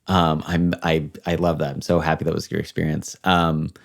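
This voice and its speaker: A man's voice: very deep voice, monotone, normal speed, vocal fry. A man hosting a casual interview, supportive and thinking aloud as he works toward his questions. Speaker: podcast host, interviewer.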